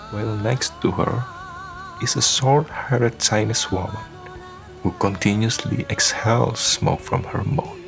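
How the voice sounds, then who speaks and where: A man narrating a scripted radio drama as a solemn, deliberate storyteller. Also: fairly low pitch, vocal fry. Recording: voice over prominent music. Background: music.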